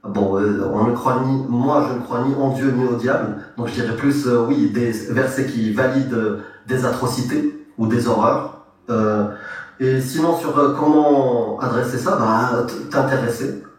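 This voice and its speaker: A measured, plain-spoken man giving advice during a debate. He sounds far from the mic, in a very echoey space. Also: mid-range voice, steady tone. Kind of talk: live online debate.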